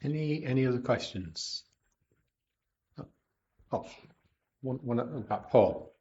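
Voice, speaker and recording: Male moderator at a public lecture, mid-pitched, halting and repetitive. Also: animated, darkish tone, lo-fi sound. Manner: stammering, repeats words. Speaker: event chair.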